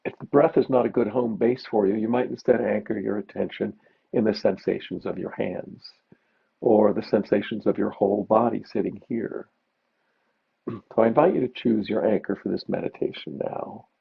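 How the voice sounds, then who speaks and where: A man teaching meditation, giving gentle, unhurried guided mindfulness instructions with pauses. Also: lowish voice, fairly even intonation, vocal fry, breathy edge. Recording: dull, muffled lo-fi recording.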